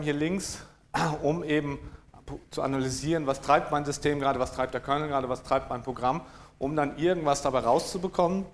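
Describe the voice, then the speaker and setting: A man from northern Germany giving a technical conference talk, dry and hesitant, with many fillers and frequent throat clearing. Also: on the high side. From East Frisia, northern Germany. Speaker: conference speaker. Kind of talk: technical talk to a live audience.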